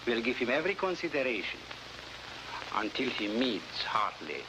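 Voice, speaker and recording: An actor playing a smug, scheming Latin American newspaper publisher in a theatrical, oily 1950s television style. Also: male voice, medium pitch, very animated, moderate pace, natural voice. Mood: commanding. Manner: theatrical, oily, mock-courteous. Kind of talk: early 1950s TV adventure acting. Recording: noisy old 1950s television soundtrack.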